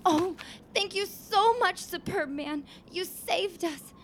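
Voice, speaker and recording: A man putting on a swooning woman passenger's voice in shrill falsetto. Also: takes it slowly, a bit bright, slight background noise, full character voice.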